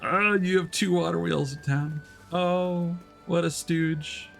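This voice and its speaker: A man's voice: fairly high pitch, slow pace, creaky, soft background music. A man giving playful, casual gameplay commentary as a streamer, sometimes reading game text aloud. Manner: playful, expressive.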